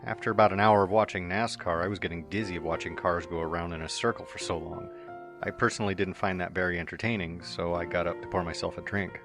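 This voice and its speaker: A man with a very low voice reads a dramatic story in the first person. His delivery is steady and earnest, with quiet music running underneath. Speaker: narrator. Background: soft background music.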